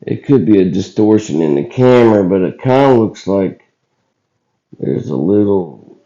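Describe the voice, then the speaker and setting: A man hosts casually in a deep, very mellow and dark voice, rough and hoarse from illness. He talks slowly and haltingly, in plain folksy words. The recording is slightly dull and lo-fi. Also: expressive. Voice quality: rough, scratchy voice. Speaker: video channel host. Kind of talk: casual footage commentary.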